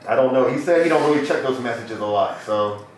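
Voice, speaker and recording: A man's voice: medium pitch, quick pace, a little hiss or hum. A man hosting a podcast interview, talking in loose, joking, slangy banter. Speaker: podcast host. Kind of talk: casual interview chat. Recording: very echoey room.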